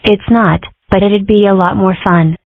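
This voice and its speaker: A woman voicing a mischievous young fox girl with cheeky, deadpan jokes in an amateur comic dub. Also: medium pitch, moderate pace, no echo, natural voice. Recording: dry, slightly lo-fi home recording.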